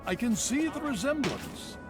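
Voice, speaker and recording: An actor playing a grave, paternal elder leader in a video game, measured, consoling and authoritative. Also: male voice, extremely high pitch, sing-song, exaggerated voice. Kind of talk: dramatic video game acting. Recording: music behind the voice.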